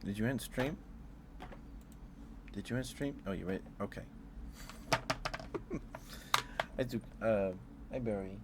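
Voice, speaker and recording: A man's voice: fairly low pitch, slow, pauses often, background noise. A man who streams ASMR, chatting casually and musing aloud in a hesitant, rambling way. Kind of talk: casual ASMR livestream chat.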